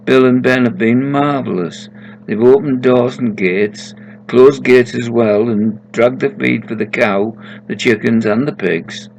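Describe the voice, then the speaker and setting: A man voicing the ghost of a gentle old countrywoman who frets over her animals in a story. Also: mid-range voice, a little slow, gravelly, slight background noise, pitched above the performer's own voice, rough recording. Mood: gentle, worried.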